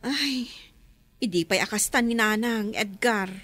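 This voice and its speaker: Troubled young daughter, confiding, plaintive, slightly high voice, radio drama. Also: female voice, measured pace, fairly bright tone, no room sound. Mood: worried. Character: troubled adult daughter, concerned about her mother. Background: music.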